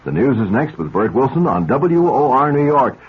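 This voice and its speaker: A male station announcer reads scripted promos in an old radio style. His mid-pitched voice is husky and rough. The sound is tinny and phone-like. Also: big pitch swings, moderate pace. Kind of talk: scripted 1970s sponsor and ad reading.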